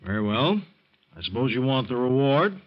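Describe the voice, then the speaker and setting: A man's voice: mid-range voice, big pitch swings, moderate pace, close to an everyday voice. A man playing a stern, wealthy older father in a 1940s radio drama, stiff and disapproving. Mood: formal. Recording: muffled 1940s radio broadcast.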